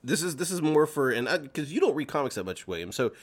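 A man co-hosting a podcast, chatting casually in an excitable, rambling way. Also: on the high side, quick pace. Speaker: podcast co-host. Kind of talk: casual group chat.

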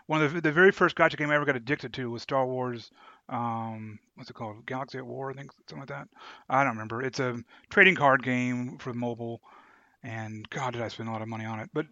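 A man co-hosting a podcast, chatting casually and haltingly as he searches for words. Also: medium pitch, fairly even intonation, pushed and bright, no room sound, muffled recording. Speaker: podcast co-host. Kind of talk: casual chat reviewing an audio drama.

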